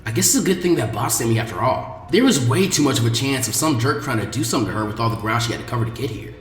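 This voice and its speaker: Man playing a brash, expressive boy ninja. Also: medium pitch, rapid-fire, reverberant, long reverb tail, voice pushed down, lightly acted. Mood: curious, puzzled. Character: brash, impulsive boy ninja, openly emotional.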